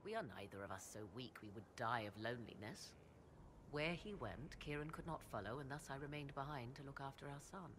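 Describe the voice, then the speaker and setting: An actress playing a proud, sardonic sorceress in a video game, with archaic, formal, haughty diction. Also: female voice, a bass voice, expressive, brisk, noisy background. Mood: dry, sardonic. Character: proud, sardonic sorceress, devoted mother and lover. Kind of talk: dramatic video game dialogue.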